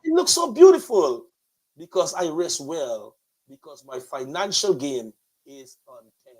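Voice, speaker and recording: High-pitched Guyanese man, preacher-like motivational host. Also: very animated, a little slow, darkish tone, a little hiss or hum, rough recording. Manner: emphatic, flowery, rhetorical repetition. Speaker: host and pastor of a motivational online show. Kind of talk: motivational talk, preacher-like hosting.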